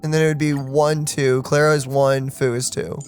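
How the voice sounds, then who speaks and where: A woman giving casual let's play commentary in an animated, reactive way. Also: very deep voice, normal speed, soft background music. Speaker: gaming streamer.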